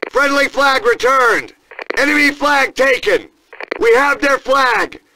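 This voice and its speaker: An actor playing a fierce American Marine sergeant, barking commanding announcements in a military video game. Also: male voice, very high-pitched, takes it slowly, sharp tone, slight background noise, full character voice, low-quality recording. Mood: urgent, fired up. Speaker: multiplayer announcer.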